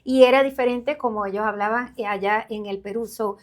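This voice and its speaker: A woman's voice: fairly high pitch, steady tone, fairly quick. A woman from Puerto Rico with a Puerto Rican Spanish accent, interviewing a guest and interjecting eagerly with questions. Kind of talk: interview conversation.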